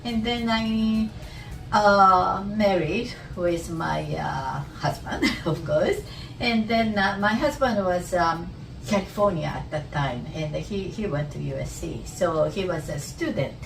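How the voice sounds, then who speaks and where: Older japanese-accented lady, slow and halting, lots of uh's, roomy echo, mic far off with music playing. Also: on the low side, gravelly. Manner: halting, many "uh" fillers, searching for words. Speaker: guest, esthetician. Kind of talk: casual interview.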